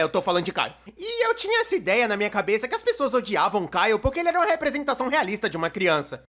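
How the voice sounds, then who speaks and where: A man's voice: very high-pitched, fairly bright tone, full character voice. A man with a Brazilian accent dubbing a casual, wry young storyteller in scripted video commentary. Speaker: dubbed narrator of an animated opinion video.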